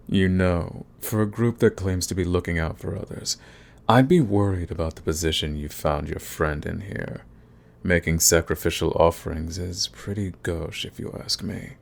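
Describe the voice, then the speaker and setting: Villain, male actor: deep voice, cool menace, smug and taunting, unhurried threats, audio roleplay. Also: vocal fry, full character voice. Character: cocky, menacing male villain, coolly fond of his enemy. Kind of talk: ASMR roleplay acting, addressing the listener.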